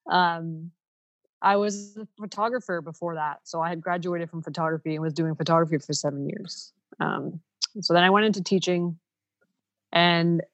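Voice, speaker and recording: Candid low-voiced woman, dull dry audio. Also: no room sound. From Canada. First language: Ukrainian. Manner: candid, storytelling with quick asides. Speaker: podcast co-host. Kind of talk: casual podcast conversation.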